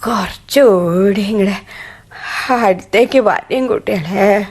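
Frail old granny in bed, tender radio play voice, really slow n halting. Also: female voice, medium pitch. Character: frail, bedridden old mother and grandmother, tender. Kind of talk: radio drama acting.

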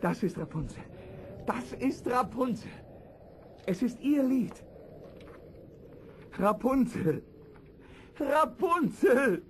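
An actor playing a lovesick young prince in a fairy-tale audio drama, calling out with drawn-out, pleading words. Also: male voice, extremely high pitch, big pitch swings, heavily acted. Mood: awed longing. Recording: faint background noise with birdsong.

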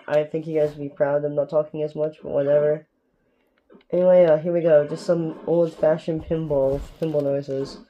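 A young man from Canada giving rambling, casual gameplay commentary with a sore, strained throat, recorded on a phone filming a TV in a dark room at home. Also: a little high, poor audio. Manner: rambling, keeps asking "alright?". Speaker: gaming YouTuber.

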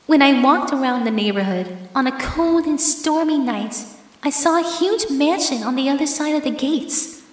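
A woman's voice: high voice, lively intonation, moderate pace. A woman playing a menacing, possessive yandere ex-girlfriend in an ASMR horror roleplay, with sugary endearments and theatrical commands. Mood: sweetly possessive. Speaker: voice actress in audio roleplay. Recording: echoey, long hall-like reverb.

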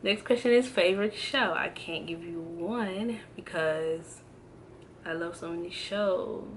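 A young woman in her twenties talks to her camera at a slow, unhurried pace, filling gaps with ums and restarts. Her voice sits a little low, and the recording carries a faint background hiss. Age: 20s. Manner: relaxed, hesitant, many ums and restarts. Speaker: vlogger. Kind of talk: casual tag video chat. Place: indoors at home, in front of a backdrop. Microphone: camera framed close, seated.

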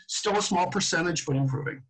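Halting man reporting as secretary. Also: high-pitched, fairly bright tone, husky, poor audio. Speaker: association secretary. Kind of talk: reporting results at a town hall.

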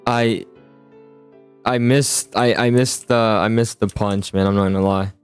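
A man's voice: fairly low pitch, fairly even intonation, measured pace, smooth, dry recording. A man chatting casually in an improvised game roleplay, often stammering and repeating his words. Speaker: host of a roleplay gaming video. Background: music.

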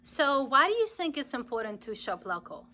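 A woman's voice: sits high, big pitch swings, normal speed, pushed and bright. A young woman with a Southern American accent, enthusiastically interviewing a shop owner on a phone camera in a large store. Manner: enthusiastic, gushing praise. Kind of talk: casual live store tour interview. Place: large indoor clothing boutique. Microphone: handheld phone camera.

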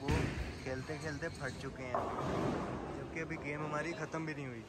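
Young Pakistani man vlogging, loud music behind. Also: a little high, steady tone, moderate pace. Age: 20s. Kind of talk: casual vlog chat.

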